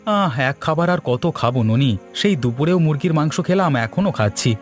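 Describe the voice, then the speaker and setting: A man's voice: fairly high pitch, animated, normal speed, faint music behind. A man voicing a stingy, fussy, complaining eldest son-in-law in a Bengali cartoon story.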